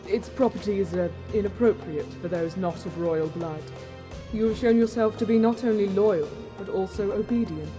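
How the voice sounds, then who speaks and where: A woman's voice: medium pitch, moderate pace, lightly acted. Woman doing a bossy regal game noblewoman, formal, calculating, music going behind her. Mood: coolly approving. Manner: formal, imperious. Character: regal, calculating woman who rewards obedient servants. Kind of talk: scripted video game dialogue.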